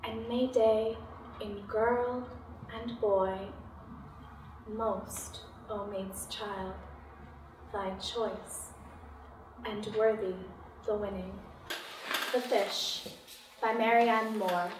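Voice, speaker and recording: A woman reads poetry aloud extremely slowly, in short, deliberate phrases. She is some way from the mic in an echoey room. Her voice sits at a medium pitch. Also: steady tone. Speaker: poetry reader. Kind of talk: slow poetry recitation. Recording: echoey room, distant mic, faint noise.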